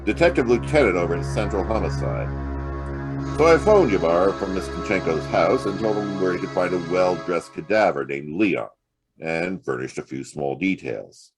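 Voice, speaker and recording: An actor playing a wry, streetwise private detective in a hard-boiled audio drama, with a sardonic, conversational delivery. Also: male voice, deep voice, lively intonation, normal speed, music in the background. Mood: weary storytelling. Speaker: actor narrating and playing the lead.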